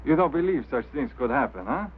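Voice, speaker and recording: An actor playing a stubborn, put-upon road crew foreman with a French Canadian accent and halting phrasing, in mid-century screen drama style. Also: mid-range voice, expressive, phone-quality sound, close to an everyday voice. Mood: uneasy, wondering. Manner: halting, non-native phrasing. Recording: thin, noisy old film soundtrack.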